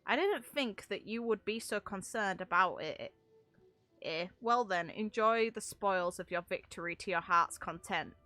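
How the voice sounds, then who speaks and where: A woman's voice: mid-range voice, unhurried, bright, forceful tone, faint music behind. A woman reading aloud casually with wry, sarcastic asides and the odd stumble, like a streamer reacting to game lore.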